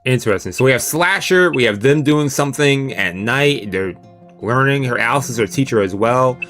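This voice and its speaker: A man's voice: mid-range voice, lively intonation, moderate pace, a bit bright. A male streamer reading a visual novel aloud expressively, mixing narration with casual, playful reactions. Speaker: let's play streamer. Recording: music playing behind the voice.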